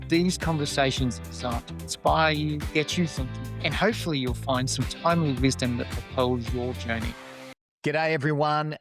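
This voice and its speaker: An Australian man hosting a podcast, introducing the show and interviewing a guest in an upbeat, enthusiastic way. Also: mid-range voice. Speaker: podcast host.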